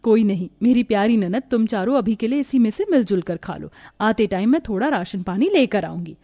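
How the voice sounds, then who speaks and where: A woman voicing a poor, patient young married woman in a cartoon family drama, with a weary, gently pleading manner. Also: mid-range voice, animated, fairly quick. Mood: reassuring. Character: poor, patient, self-sacrificing young married sister-in-law.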